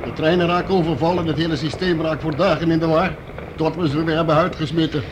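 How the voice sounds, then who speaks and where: A fairly high male voice , acted by a man, plays a courteous station guard in a radio drama. He is deferential and chatty, with clear urban noise around him. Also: steady tone, fast talker, lightly acted. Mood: chatty, resigned. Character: polite, talkative male underground station guard. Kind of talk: Dutch radio play acting, conversational.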